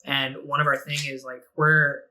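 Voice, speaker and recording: A man's voice: mid-range voice, little pitch movement, moderate pace, bright, forceful tone, low-quality recording. A man in his twenties chatting casually on a podcast, rambling, laughing often and swearing freely. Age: 20s. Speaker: podcast guest.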